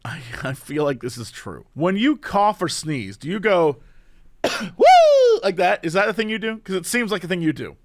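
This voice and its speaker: A man with a high, very lively voice teases his co-host with dry jokes, swooping up and down in pitch as he riffs. The sound is close and dry, with no room around it. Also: moderate pace, no echo. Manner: teasing dry banter. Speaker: podcast co-host. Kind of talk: casual chat.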